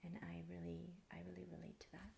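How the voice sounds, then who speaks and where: Woman in her thirties, heavily fry-laden soft voice, near-whisper, calm, unhurried ASMR talk, faint hiss. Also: on the low side. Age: thirty-four. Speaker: ASMR video creator. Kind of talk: soft-spoken ASMR, answering personal tag questions.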